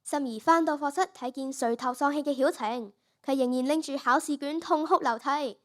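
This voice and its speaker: A woman's voice: high-pitched, normal speed, lightly acted. A primary school girl with a Hong Kong Cantonese accent narrating a story in dramatic style. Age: child, primary school student.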